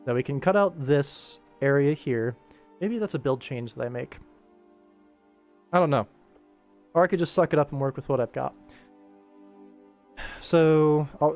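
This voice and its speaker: A man's voice: mid-range voice, darkish tone. A man casually commentating on his animation work stream, thinking aloud and chatting informally with viewers. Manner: informal, rambling to himself and viewers.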